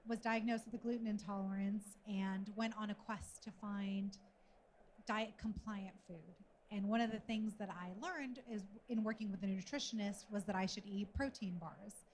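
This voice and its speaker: A woman's voice: medium pitch, fairly even intonation, normal speed, a little mellow, a little hiss or hum. A woman from Sudan speaking as a panel guest, telling a personal story in a conversational way. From born in Sudan. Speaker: panel guest, food company founder.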